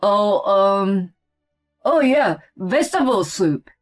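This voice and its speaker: A woman's voice: mid-range voice, lively intonation, slow pace, soft background music. A woman voicing a grumpy, whiny grown man in a story, indignant and complaining about everything. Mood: flustered, placating. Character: grumpy, whiny grown man, a picky meat-loving eater. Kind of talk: acted story dialogue.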